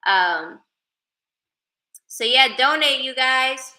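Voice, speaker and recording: A woman's voice: high-pitched, animated, drawn-out words, sharp tone, reverberant, poor audio. A woman from Sweden, whose first language is Persian, chatting casually on a livestream and thanking viewers by name. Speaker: livestreamer and advocate.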